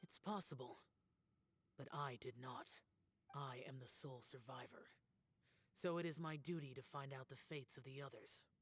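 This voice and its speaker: A man's voice: mid-range voice, normal speed, a bit bright, close to an everyday voice. A man voicing a solemn, aloof young immortal demon-slayer in a fantasy game, speaking in a grave, guarded, restrained way. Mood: grave, resolute. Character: solemn, aloof young male immortal demon-slaying warrior burdened by karma. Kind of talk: scripted fantasy game acting. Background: background music.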